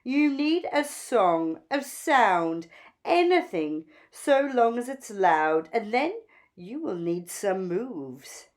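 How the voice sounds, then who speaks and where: Flamboyant female bird stylist for a storybook, played by a woman: bossy, gushing, high and slow, stretching key words. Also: very animated. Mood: bossy, showy. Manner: theatrical, gushing, drawn-out emphatic words. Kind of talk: children's storybook character voice.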